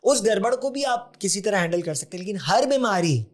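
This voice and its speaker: A man's voice: sits high, lively intonation, brisk. This is a man, a guest on a conversational podcast. He talks in an earnest, explanatory way and often drops English terms into his sentences. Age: 40s. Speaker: podcast guest, health advocate. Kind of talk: conversational interview.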